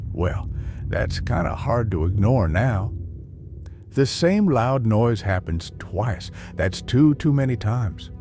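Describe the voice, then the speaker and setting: A man's voice: on the low side, big pitch swings, slow pace, warm tone. A man acting a jittery old man who lives alone, rambling and folksy as he talks to himself in a comedic audio drama. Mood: muttering, wary. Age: older. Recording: music behind the voice. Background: music.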